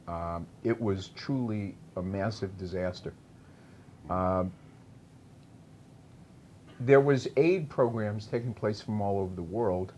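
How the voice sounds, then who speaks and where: Cigar panel regular, male, low-pitched, easygoing storyteller who laughs with the group. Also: slight background noise. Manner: relaxed storyteller, laughs easily. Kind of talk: casual panel chat and storytelling.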